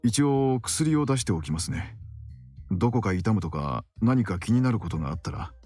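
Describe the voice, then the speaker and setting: A fatherly middle-aged doctor in a visual novel. His voice is low and calm, flowing quickly in a dry recording. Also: dry recording, faint music behind. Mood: calm, kindly. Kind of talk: Japanese visual novel acting.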